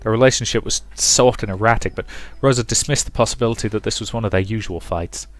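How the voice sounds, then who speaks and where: Low-voiced man narrating dramatically. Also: fast talker, no echo, faint music behind. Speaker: let's play narrator. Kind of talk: dramatic storybook narration, reading aloud.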